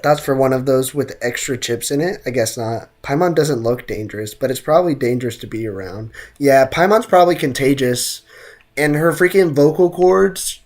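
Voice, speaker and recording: Young male streamer, mid-range voice, casual game commentary, slangy, jokey, theatrical. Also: mid-range voice. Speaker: game livestreamer. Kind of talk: casual playthrough commentary with chat banter.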